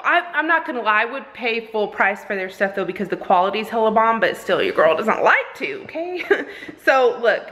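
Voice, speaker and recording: A woman in her thirties chatting casually to viewers while filming herself at arm's length in her home kitchen, animated and slangy. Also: fairly high pitch, noisy background. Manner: animated, slangy, talks straight to viewers with frequent "okay?". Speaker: vlogger. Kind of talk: casual chatty haul vlog. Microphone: handheld camera at arm's length.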